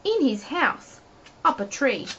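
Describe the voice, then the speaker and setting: Woman storyteller, husky tone, expressive children's-book reading, questions to the listener, somewhat muffled lo-fi recording. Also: high voice, slow. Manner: animated, addresses the young listener with rhetorical questions. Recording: dull lo-fi home recording.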